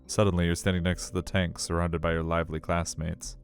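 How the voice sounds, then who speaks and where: A man's voice: deep voice, level tone, brisk, faint music behind. A man reading a visual novel aloud as dramatic, suspenseful story narration.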